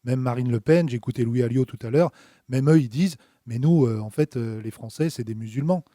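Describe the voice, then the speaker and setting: A man's voice: mid-range voice, fairly quick, mellow, no room sound, studio quality. A man in a political debate, reasoning aloud with many hesitant fillers.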